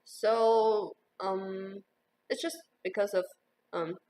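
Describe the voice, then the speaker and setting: Slow, hesitant young Taiwanese-raised woman. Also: mid-range voice, steady tone, warm tone, poor audio. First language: Mandarin Chinese. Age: 20s. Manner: hesitant, many fillers like "um" and "like". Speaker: interviewee, university student. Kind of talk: casual interview answers.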